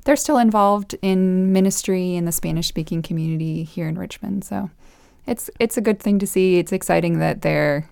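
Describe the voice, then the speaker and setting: Young woman guest, grew up in Costa Rica; soft-spoken, pausing, gravelly texture. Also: lowish voice, no echo, clean studio sound. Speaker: podcast guest. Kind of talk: casual conversational interview.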